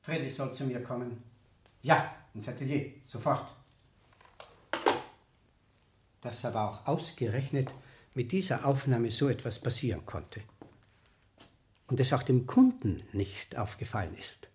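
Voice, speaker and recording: An actor playing a deferential, somewhat flustered photo studio boss in a radio play. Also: male voice, medium pitch, expressive, moderate pace, lightly acted. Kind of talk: 1970s German radio play acting.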